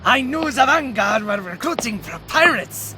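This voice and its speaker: A man's voice: extremely high pitch, big pitch swings, moderate pace, background noise. A man playing a sly, smug rogue with a teasing, theatrical delivery in a fantasy audio drama. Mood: smug menace. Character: sly, taunting male rogue scheming a shakedown.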